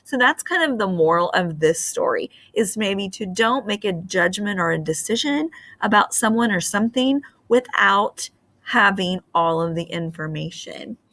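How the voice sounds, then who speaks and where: Teacher, middle-aged woman; animated storybook reader, bright tone, dry close mic. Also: medium pitch, moderate pace, no echo. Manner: expressive and animated. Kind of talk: storybook read-aloud and lesson. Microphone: webcam on a screen share.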